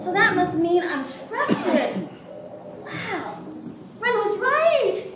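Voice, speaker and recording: Woman playing a very high-pitched, excitable naive girl. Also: very slow, full character voice. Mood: amazed, giddy. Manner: giggly, exclaiming, chatty. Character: naive, excitable young woman from a cyborg district. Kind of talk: theatrical stage acting. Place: theater stage. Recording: echoey noisy theater, distant mic.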